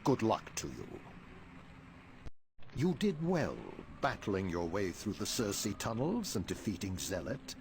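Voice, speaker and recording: An actor playing a grave, stern older mutant leader in a video game, speaking with formal authority. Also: male voice, lowish voice, huge pitch swings, a little slow, background noise, full character voice.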